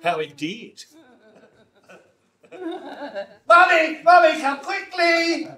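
A woman's voice: very high voice, sing-song, a little slow, pushed and bright, full character voice. A woman playing a bratty, bullying ugly stepsister in a stage pantomime, with sarcastic, taunting delivery. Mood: threatening. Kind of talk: broad stage pantomime comedy. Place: indoor stage, amateur theatre performance. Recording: roomy stage recording, noticeable background noise.

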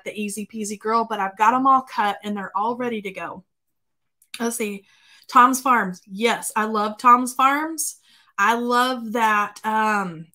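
A woman in her forties, slightly hoarse, chatting casually as she hosts a live craft stream from her home craft room and greets viewers by name. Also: medium pitch, sharp tone. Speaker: craft livestream host.

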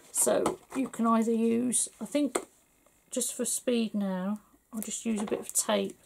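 Chatty slightly hoarse woman, gravelly. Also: fairly high pitch, a little hiss or hum. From England. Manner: chatty, rambling, full of fillers. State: slightly hoarse, losing her voice. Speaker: papercraft tutor. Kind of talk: casual crafting tutorial.

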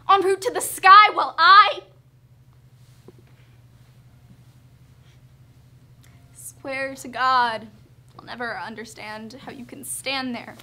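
Teenage girl acting a sharp, high-voiced jealous young wife. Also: full character voice. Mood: bitter, tearful. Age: teens. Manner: emphatic, sarcastic. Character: hurt, jealous young wife berating her self-absorbed husband. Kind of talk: theatrical audition monologue.

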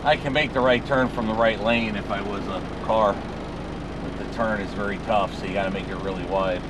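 A man's voice: on the low side, fairly even intonation, a little fast. A man, a truck driver musing aloud in an offhand, rambling way as he drives. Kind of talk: casual driving commentary. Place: inside a truck cab on the road. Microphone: dash camera in the cab. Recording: loud engine and road noise.

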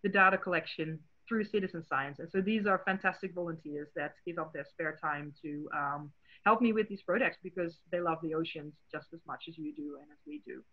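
A woman's voice: deep voice, fairly quick. Dutch accented woman explaining stuff on a video call, lots of uhs, tinny sound. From Netherlands. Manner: friendly, explanatory, many "uh" fillers. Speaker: marine biologist and educator. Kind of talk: presenting slides on a video call. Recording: tinny phone-like call audio.

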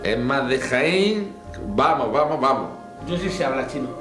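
A man's voice: medium pitch, expressive, a little slow. A man interviewing children from off camera in a playful, teasing manner. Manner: teasing, jokey. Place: classroom studio. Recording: echoey room, off-mic, music behind.

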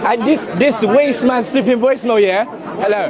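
A hoarse young man talks to a handheld camera right after a gig, his voice fairly high and worn out. He sounds animated and slangy, and a thick crowd chatters all around him. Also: moderate pace. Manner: animated, slangy, laughing with friends. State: hoarse, voice gone. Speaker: vlogger. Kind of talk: excited casual chat. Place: outside a crowded concert venue at night.

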